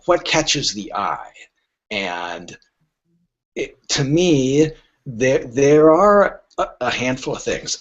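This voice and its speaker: Animated middle-aged man, wry and low-voiced. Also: husky, dull audio. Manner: wry, joking, anecdotal. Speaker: literary agent, podcast guest. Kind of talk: conversational interview answers.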